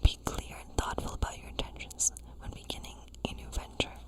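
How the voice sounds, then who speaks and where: A woman whispering gently and breathily in an ASMR role play as a kindly witch who reads tarot. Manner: gentle, slow, soothing reassurance. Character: kindly witch reading tarot in her woodland cottage. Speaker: ASMR performer playing a witch.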